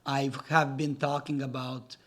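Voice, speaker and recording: Serbian-born man, halting friendly talk. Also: mid-range voice, little pitch movement, fairly bright tone. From Serbia, raised in Gatineau, Quebec. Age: 40s. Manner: hesitant, many fillers and restarts, friendly. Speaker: podcast guest, real estate developer. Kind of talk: casual interview talk.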